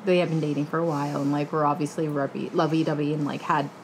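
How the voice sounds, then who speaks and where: Opinionated woman with a lowish voice. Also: level tone, low-quality recording. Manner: opinionated, slangy, halting with many likes. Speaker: book club co-host. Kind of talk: casual book discussion. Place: living room couch, indoors. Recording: clear background room noise.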